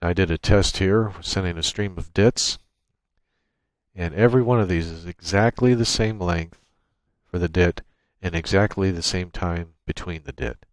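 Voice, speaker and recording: A man with a deep voice presents an informal software walkthrough. He explains each step as he goes, speaking into a USB microphone. The sound is dry, with little room in it. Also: moderate pace, no echo. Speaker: tutorial presenter. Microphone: USB microphone through a noise gate.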